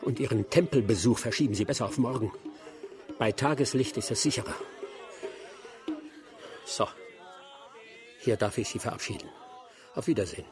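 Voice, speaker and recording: An actor playing a self-assured former swindler turned official in a radio play, speaking in a brisk, assertive way. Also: male voice, medium pitch, over music, crowd noise, natural voice. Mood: brisk, courteous. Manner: brisk, assertive, formally courteous to strangers.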